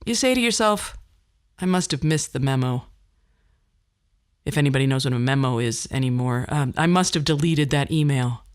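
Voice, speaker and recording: A middle-aged woman delivering a scripted podcast monologue as host, phrasing things in a wry, deliberate way. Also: a bass voice, lively intonation.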